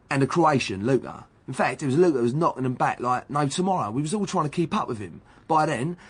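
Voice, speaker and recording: Radio drama role: cocky London footballer under police questioning. Slightly husky, slangy, defensive and evasive. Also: male voice, a little high. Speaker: actor. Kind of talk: naturalistic radio drama acting.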